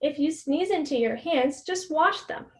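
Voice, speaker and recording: A woman voicing a caring, patient mother who gently instructs her child in a storybook. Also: high voice, animated, unhurried. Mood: patient instructing. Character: caring, patient mommy teaching her daughter hygiene, storybook.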